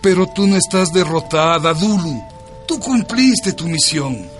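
An actor playing an earnest, aggrieved veteran doctor and party comrade in a radio drama, speaking emphatically with an Ecuadorian accent. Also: male voice, on the high side, lively intonation, measured pace, soft background music. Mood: consoling.

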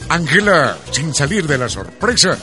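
An actor narrating a radio drama as a dramatic, playful storyteller. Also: male voice, a little high, very animated, moderate pace, music in the background. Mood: wry, dramatic. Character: lively male storyteller narrator of a radio soap.

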